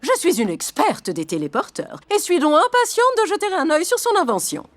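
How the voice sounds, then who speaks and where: A woman's voice: high-pitched, huge pitch swings, fairly quick. A woman voicing a prim, enthusiastic school superintendent who speaks in a grand, formal, gushing way in a cartoon. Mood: excited, grand. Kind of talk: French animated children's series dubbing. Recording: music behind the voice.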